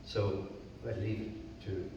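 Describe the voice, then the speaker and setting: A man's voice: fairly low pitch, little pitch movement, mellow, lo-fi sound, a little hiss or hum. A man with an Irish accent giving a jovial public talk as a co-author at a library event. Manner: slow, jovial, chuckles at his own jokes. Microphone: distant room mic. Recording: echoey hall recorded from far off.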